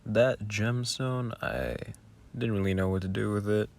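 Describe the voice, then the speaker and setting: A man's voice: fairly low pitch, steady tone, a little slow, creaky, darkish tone, slight background noise. A man who makes audio roleplays, giving casual, rambling behind-the-scenes commentary with muttered asides.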